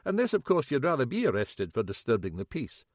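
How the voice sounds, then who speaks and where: A calm older inspector, as a male narrator plays him, understated and dry-witted. His delivery is level and controlled with a lively pitch range, in a dry, close recording. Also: brisk, dry recording.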